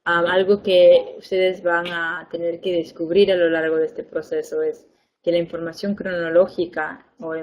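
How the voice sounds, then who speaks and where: A young woman education advisor, a native Spanish speaker, giving a webinar lesson in a clear, patient way, stressing points by repeating words. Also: on the low side, darkish tone. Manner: clear, patient explaining with fillers and repeated emphasis.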